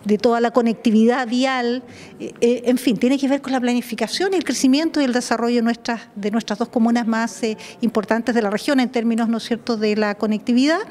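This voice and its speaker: Halting Chilean woman, slightly high voice. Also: steady tone, a little mellow. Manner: halting, repeats and reformulates. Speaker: regional councillor. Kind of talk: news interview answer. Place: council meeting room indoors. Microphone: handheld interview microphone.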